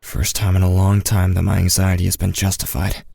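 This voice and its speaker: Narrator lead: anxious, withdrawn teenage boy, male actor, lower register, dry recording, audio drama. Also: completely flat delivery, a little fast, vocal fry, mellow, breathy edge, no room sound, exaggerated voice. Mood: anxious, subdued.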